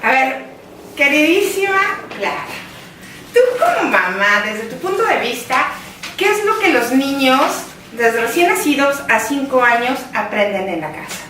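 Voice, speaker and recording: Here a woman co-hosts a radio talk show in an excitable, teasing way. Her voice is high and bright, and her pitch swings widely. She is recorded at a distance in a very echoey room, with faint room noise behind her. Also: unhurried. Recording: roomy studio heard from a distance.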